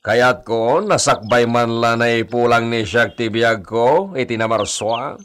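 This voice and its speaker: An actor playing a proud, wealthy landowner in a radio drama, with an Ilocano accent and an authoritative, declaiming manner with touches of Spanish. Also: male voice, mid-range voice, measured pace, fairly bright tone, a little hiss or hum, lightly acted. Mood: proud, genial. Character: proud wealthy rancher señor, commanding landowner.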